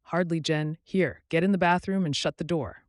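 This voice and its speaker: A man's voice: fairly high pitch, normal speed, dry recording, studio quality, voice pushed up, lightly acted. A man voicing a wry, evasive regular guy on the run in story dialogue, with a casual, half-joking manner. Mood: urgent.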